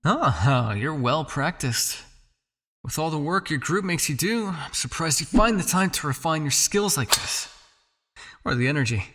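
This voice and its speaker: A man playing a possessive, teasing vampire captor in an intimate ASMR roleplay, coaxing the listener with a creaky voice. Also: on the high side, animated, fairly bright tone. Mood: confident, challenging. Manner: coaxing, teasing, with pauses, sighs and murmured asides.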